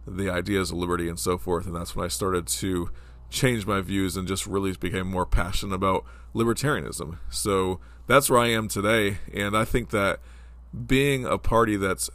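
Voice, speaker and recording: A very deep-voiced man in his forties hosts his own podcast, rambling along casually with plenty of ums and restarts. He sounds stuffed up from a cold, and the close mic leaves his voice dry with no room around it. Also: fairly even intonation, moderate pace, fairly bright tone, no echo. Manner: rambling, conversational, many fillers. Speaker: podcast host. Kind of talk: casual solo political podcast.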